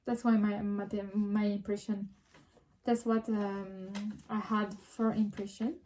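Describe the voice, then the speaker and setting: French-accented woman with a mellow voice. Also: medium pitch, level tone, slow, slight background noise. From France. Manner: frequent restarts and hesitations, reflective. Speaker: vlogger. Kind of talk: casual personal vlog.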